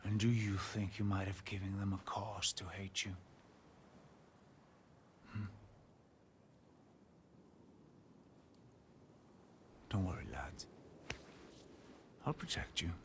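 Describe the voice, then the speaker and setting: A man playing an earnest, persuasive, protective Northman in a cinematic video game, halting and often hushed. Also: sits low, steady tone, slight background noise. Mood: calm, protective. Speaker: game character actor.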